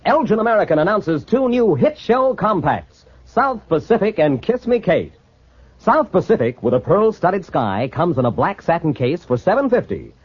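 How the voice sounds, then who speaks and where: A high-voiced male announcer with a husky edge delivers an old radio commercial. His upbeat sales pitch swings widely in pitch, heard through a dull vintage recording. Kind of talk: 1940s-50s radio advertisement read, upbeat sales pitch.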